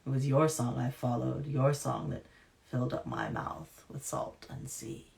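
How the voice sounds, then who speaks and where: Brief: weary half-drowned sailor girl, croaky and low, gravelly hush, read by a woman. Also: big pitch swings, a little slow, slight background noise, heavily acted. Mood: bitter. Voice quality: croaking, hushed.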